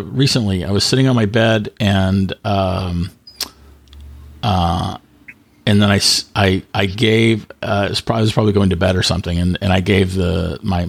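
Male co-host, casual tech chat. Rough-edged, fry-laden delivery, halting and self-correcting. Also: fairly low pitch, dry recording, clean studio sound.

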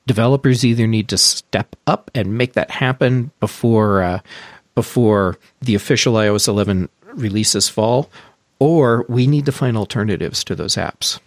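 A man's voice: mid-range voice, no echo, clean studio sound. A man hosting a casual podcast, chatting with his co-hosts and explaining news step by step. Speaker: podcast host. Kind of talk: casual tech podcast chat.

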